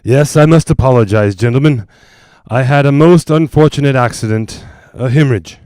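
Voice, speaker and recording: A man playing a grave, evasive doctor who speaks in a measured, apologetic way, in the style of a 1940s radio drama. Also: medium pitch, a little mellow, dry recording, clean studio sound, natural voice. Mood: weary, apologetic. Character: grave male doctor and ghost hunter, composed, evasive.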